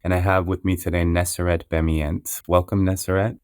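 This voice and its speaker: A man with a low, level voice hosts a podcast and talks in an earnest, measured way. His tone stays clear and smooth, recorded dry and close. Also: little pitch movement, a little mellow, dry recording. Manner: measured, with frequent restarts and "you know". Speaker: podcast host. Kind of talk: interview intro, earnest and reflective.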